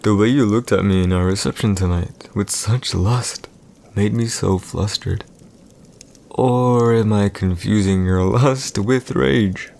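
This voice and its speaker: A man performs an arrogant villain emperor in an intimate audio roleplay. His voice sits low with a heavy, gravelly creak. He speaks formally and theatrically to one listener, smug and teasing, with hurt pride underneath. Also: a little mellow. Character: arrogant villainous young male emperor, teasing and secretly wounded.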